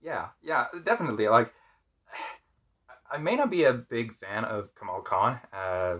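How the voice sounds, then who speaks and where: A man co-hosting a casual fan chat, speaking in a hesitant, measured and agreeable way. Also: medium pitch, lively intonation, fairly bright tone, slightly rough. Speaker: podcast co-host.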